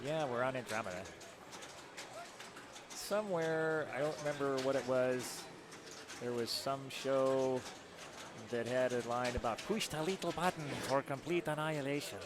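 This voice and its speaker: A man from Rochester giving live pinball tournament commentary, calmly explaining the rules and scoring of each shot. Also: medium pitch, normal speed, pauses often. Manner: explanatory, rule-focused play-by-play. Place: tournament hall. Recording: noisy crowd in the background.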